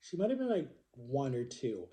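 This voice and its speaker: A man's voice: mid-range voice, huge pitch swings, normal speed, warm tone. A man in his thirties telling personal stories to viewers in a casual, chatty vlog style, rambling and correcting himself with emphatic repetition. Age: 30s. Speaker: vlogger.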